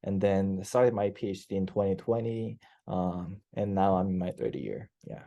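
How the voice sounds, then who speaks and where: A man's voice: deep voice, moderate pace, gravelly, dark tone. A young man in his twenties from South Korea with a Korean accent, answering interview questions hesitantly over a headset video call, with many fillers. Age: 20s. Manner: hesitant, many fillers and restarts, laughs easily. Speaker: PhD student guest. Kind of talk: casual interview chat. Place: office, indoors.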